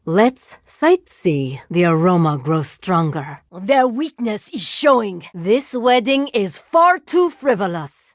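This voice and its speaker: Cold, deadpan cyborg mercenary woman. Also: medium pitch, slow pace, few pauses, no echo, clean studio sound. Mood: haughty, resolute. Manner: formal, precise, deadpan, stilted. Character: cold, clinical, haughty female cyborg mercenary scientist. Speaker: video game voice actor. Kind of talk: scripted video game character voice lines.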